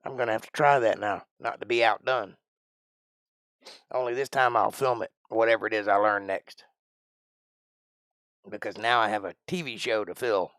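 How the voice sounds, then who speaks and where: A man in his forties talks in a loose, folksy ramble, thinking aloud as he goes. His voice carries a gravelly edge, and the sound is dry and close to the mic. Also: fairly low pitch, steady tone, moderate pace, pauses often, fairly bright tone, no room sound. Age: 40s. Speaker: live stream host. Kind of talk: casual chat answering live chat.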